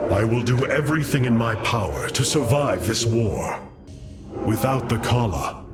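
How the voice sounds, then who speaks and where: Noble alien warrior leader for a game, slow formal commanding delivery, loud music behind. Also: male voice, lowish voice. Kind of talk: dramatic video game voice acting.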